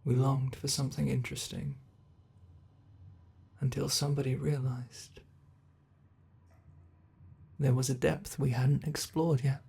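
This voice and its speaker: A man voicing an ancient, immortal monster under the bed, speaking in a slow, brooding, gravelly ASMR horror roleplay monologue. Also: mid-range voice, little pitch movement, dark tone.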